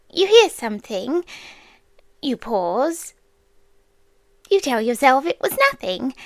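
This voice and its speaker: High female voice, British accent, woman playing ancient obsessive watcher, hushed teasing menace, swooping intonation, intimate ASMR roleplay. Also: no echo, full character voice. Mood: intimate, commanding. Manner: slow, hushed, often slipping into whispers, teasingly menacing. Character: ancient female watcher, possessive, obsessive, eerily tender stalker. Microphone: close-up ASMR microphone, dry.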